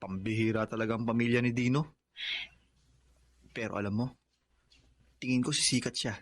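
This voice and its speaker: A man's voice: medium pitch, fairly even intonation, normal speed, close to an everyday voice. A man with a Filipino accent playing a loyal, supportive young friend in a radio drama, sympathetic and plainspoken. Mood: concerned.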